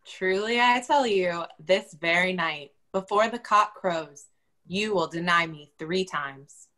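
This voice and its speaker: Bright, forceful woman reading scripture. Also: fairly low pitch, slow pace. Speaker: church lay or clergy reader.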